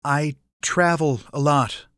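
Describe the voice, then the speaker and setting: A guarded adult son in an audiobook acted by a man, bitter and wounded underneath. He talks in terse, halting phrases. The sound is close and dry. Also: fairly high pitch, fairly bright tone, no echo. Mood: cautious. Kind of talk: audiobook character dialogue.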